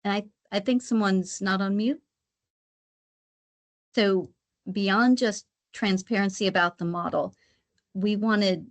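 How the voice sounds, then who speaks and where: A woman's voice: medium pitch, gravelly. A woman giving an explanatory webinar presentation step by step, sometimes repeating her phrases as she goes. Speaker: guest presenter, credit rating specialist.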